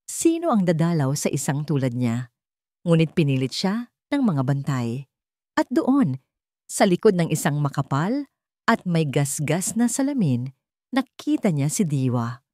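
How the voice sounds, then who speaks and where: A woman with a Filipino accent reads a scripted dramatic story in measured, short phrases. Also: sits low, very animated, mellow, no room sound, clean studio sound.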